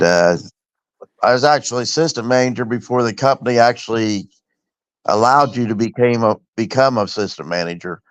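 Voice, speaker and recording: A man's voice: medium pitch, no echo. A middle-aged man from Indiana tells stories in a plain, casual way. His voice comes through dry, muffled stream audio with no room sound. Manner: plain, storytelling, reminiscing. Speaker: former truck driver. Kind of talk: casual chat.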